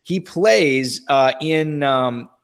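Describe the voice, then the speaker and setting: A man's voice: fairly high pitch, drawn-out words, creaky, clear, resonant tone. Regular guy hosting a fantasy football radio hour, chatty, friendly, plugging sponsors. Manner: upbeat, chatty, reads plugs and contact details. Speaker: podcast host. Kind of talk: casual radio show hosting with sponsor reads. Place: radio studio.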